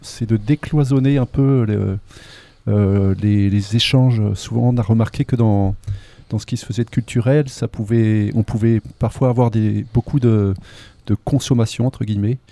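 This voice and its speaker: A man from a local arts association answering interview questions, halting, with many fillers and restarts. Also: fairly low pitch, steady tone, mellow, no echo. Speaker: association member. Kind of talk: hesitant radio interview answer.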